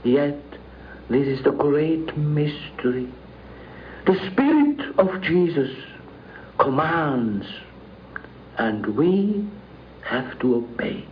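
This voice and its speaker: A man speaking formally and deliberately as a documentary contributor, on a muffled, noisy 1950s radio recording. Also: on the high side, big pitch swings. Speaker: interviewed friend giving recollections. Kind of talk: measured spoken reminiscence and letter reading.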